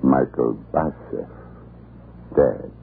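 A murderous Russian tyrant in a 1930s radio horror play. His voice is cavernous and gravelly, sweeping theatrically between sneering courtesy and menace. The old recording is muffled. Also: lively intonation, very slow, a little hiss or hum, full character voice. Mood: gloating. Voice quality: deep, gravelly. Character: murderous gloating tyrant official of Tsarist Russia.

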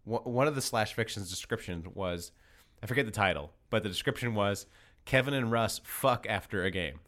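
A man's voice: lowish voice, a little fast, a bit bright. A male podcast host with a casual, slangy delivery, moving between reading a story aloud and chatting. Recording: music playing behind the voice.

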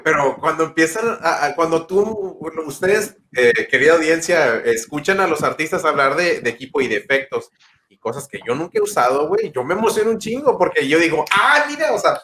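A man with a Texan accent hosts a relaxed chat over a video call. He talks in an excitable, slangy rush, and his slightly high voice rises and falls a lot. The sound is roomy, with a faint hiss behind it. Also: brisk. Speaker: podcast host. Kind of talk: casual chat.

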